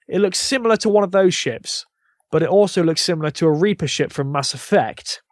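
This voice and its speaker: A man's voice: high voice, animated, brisk, no echo, clean studio sound. A man with a British accent, chatting casually as a co-host on a gaming podcast. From United Kingdom. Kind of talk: casual chat.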